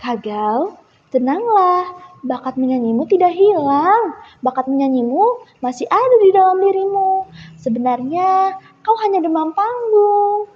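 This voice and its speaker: A young female storyteller voicing a kind, wise, patient village woman with a gentle, reassuring manner. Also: very high voice, expressive, measured pace, pitched above the performer's own voice. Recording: muffled echoey hall recording.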